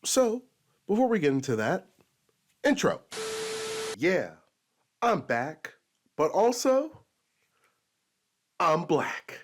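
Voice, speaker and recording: A man hosting his own commentary video, his voice raspy and a little nasal from a recent illness, talking emphatically in an unscripted rant and jumping up in pitch for emphasis. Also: big pitch swings, slow, long pauses, noisy background. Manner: emphatic, with sudden high-pitched bursts. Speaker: commentary YouTuber host.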